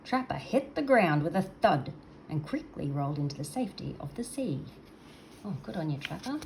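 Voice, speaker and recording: A woman's voice: lowish voice, very animated, normal speed, a little mellow, poor audio. An older woman storyteller reading a children's story aloud expressively, in a grandmotherly manner. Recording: noticeable background noise.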